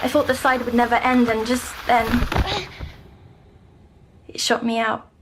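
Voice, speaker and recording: An actress playing a timid young girl troubled by nightmares in an audio drama, speaking hesitantly and softly. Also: fairly high pitch, flat delivery, background noise. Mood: haunted, wondering. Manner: hesitant, halting, softly descriptive. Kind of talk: scripted audio drama acting.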